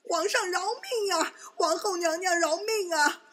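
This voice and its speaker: A woman's voice: very high voice, measured pace, fairly bright tone. A woman playing a scheming, cowardly Buddhist nun in a Chinese palace radio drama, pleading for mercy. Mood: panicked pleading.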